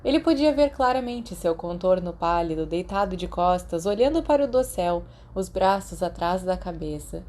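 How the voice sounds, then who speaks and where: Female audiobook narrator, Brazilian accent, lowish pitch, soft dark tone, reading scripted fiction. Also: animated, normal speed, few pauses.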